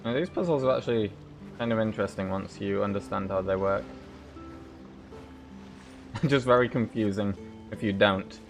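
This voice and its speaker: Male streamer, fairly low register, casual play-by-play with easy swearing, game music behind. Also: normal speed, creaky, pauses often. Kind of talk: casual gameplay commentary.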